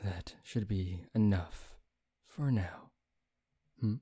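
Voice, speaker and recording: Man acting a deep, slow seductive vampire. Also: dark tone, husky, no echo, studio quality, full character voice. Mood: calm, fond. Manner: slow, intimate, teasing, formal wording. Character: teasing, seductive male vampire toying with a captive hunter. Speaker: voice actor. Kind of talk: ASMR roleplay acting.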